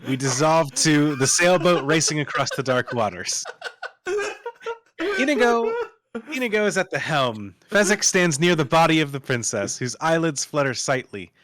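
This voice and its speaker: A man's voice: fairly high pitch, lively intonation, moderate pace, crowd noise. A man reading screenplay stage directions aloud as the narrator of a table read.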